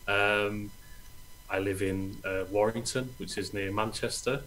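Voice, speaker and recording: Man with a low voice on a call. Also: steady tone, unhurried, fairly bright tone, a little hiss or hum, low-quality recording. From Wales. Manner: friendly, chatty, uses "um" often. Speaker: guest, treasure searcher. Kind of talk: remote interview answers. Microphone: video call.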